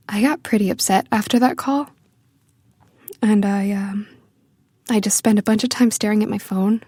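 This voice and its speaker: Audio drama lead: tender, playful young woman, higher-pitched, confiding and expressive, captured close and dry. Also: dry recording. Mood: subdued, upset. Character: tender, playful young woman missing her distant family. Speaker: actress performing a monologue. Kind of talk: intimate first-person audio drama monologue.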